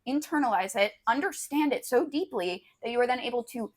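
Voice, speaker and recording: A woman's voice: fairly high pitch, animated, brisk. A young woman co-hosting a podcast, chatting casually about books in an agreeable, hedging, slangy way. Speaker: podcast co-host. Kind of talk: casual book-review chat.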